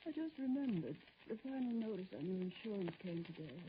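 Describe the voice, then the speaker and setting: An actress playing a sensible, soothing, slightly nagging wife in an old radio drama, coaxing and matter-of-fact. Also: female voice, mid-range voice, expressive, fairly quick, natural voice. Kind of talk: mid-century American radio drama acting. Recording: muffled, very noisy old radio recording.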